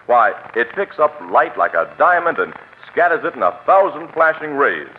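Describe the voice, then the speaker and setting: A man's voice: on the high side, big pitch swings, moderate pace, slight background noise. A man announcing scripted 1940s radio ads and credits in a polished, emphatic manner. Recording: tinny old radio broadcast, music behind.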